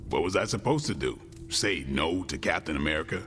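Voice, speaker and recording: A man playing a gruff, guarded, bookish vampire-hunter warrior with dry wit, in video game cutscene dialogue. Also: a bass voice, expressive, fairly quick, fairly bright tone. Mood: sheepish, defensive. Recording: long reverb, music underneath.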